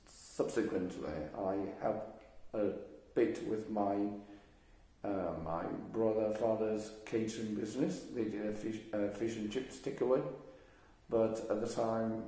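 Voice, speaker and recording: An older man speaks in a fairly low, mellow voice. He answers haltingly, pausing on fillers and restarting his sentences. The recording is very echoey, as if made in a bare room. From Hong Kong. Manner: hesitant, many fillers and restarts. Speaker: interviewee. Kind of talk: oral history interview.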